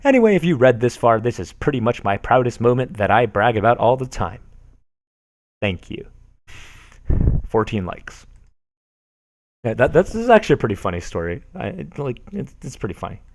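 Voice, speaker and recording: A man's voice: medium pitch, sing-song, moderate pace, long pauses. A man casually reading forum posts aloud on a stream, sarcastic and amused, often laughing at what he reads.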